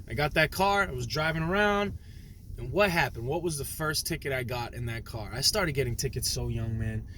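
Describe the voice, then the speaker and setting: Young adult man, animated slangy vlogger, higher pitch, faint vehicle noise. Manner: slangy, animated, talks straight to camera. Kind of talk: casual storytelling vlog.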